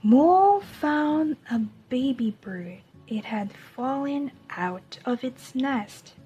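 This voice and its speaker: A woman reading a children's storybook aloud as a teacher, in a deliberate storytelling manner. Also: high-pitched, lively intonation, mellow, faint music behind, poor audio.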